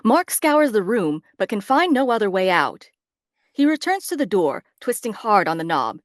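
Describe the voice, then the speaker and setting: A woman's voice: medium pitch, very animated, moderate pace, no room sound. A woman reading stage directions as scripted narration for a drama.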